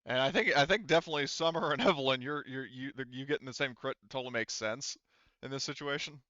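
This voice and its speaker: Male game master, clear resonant voice, loose and sweary as he talks through rules and scenes; dry but slightly muffled recording. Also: mid-range voice, fast, bright, forceful tone, no room sound. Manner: rambling, profane, self-correcting. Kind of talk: casual tabletop game narration and rulings. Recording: slightly dull, lo-fi microphone sound.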